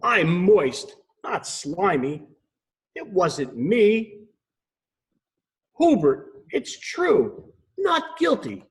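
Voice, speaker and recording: Man doing an indignant high-pitched little woodland animal. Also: sing-song, drawn-out words, long pauses, exaggerated voice. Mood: indignant, pleading. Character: indignant accused woodland animal, pleading innocence.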